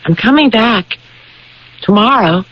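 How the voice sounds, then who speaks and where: An actress playing an earnest young woman in a radio drama, gently persistent and hesitant, with a husky voice. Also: fairly high pitch, expressive, measured pace. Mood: gently determined. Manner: hesitant, earnest, pleading, with stammered starts. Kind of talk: 1980s radio drama acting. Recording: dull old radio broadcast recording.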